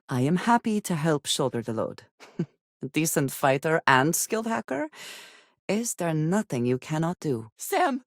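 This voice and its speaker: An actress playing a guarded, earnest female companion in a space adventure video game, with formal, measured diction. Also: low voice, dry recording, full character voice. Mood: reserved, then urgent, calling out. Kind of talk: scripted video game character dialogue. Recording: very dry, close studio recording.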